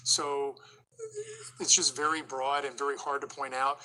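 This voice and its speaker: A man's voice: medium pitch, flat delivery, a little slow, pushed and bright, rough recording. A man giving live severe weather commentary as a TV forecaster, urgent and explanatory, going through the situation place by place.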